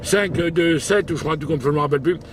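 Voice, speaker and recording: A man's voice: fairly high pitch, fast talker. A man making casual amateur radio contact in an informal, hesitant, slangy way, speaking from an outdoor mobile spot. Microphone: handheld camera picking up the voice. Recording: faint outdoor and vehicle noise.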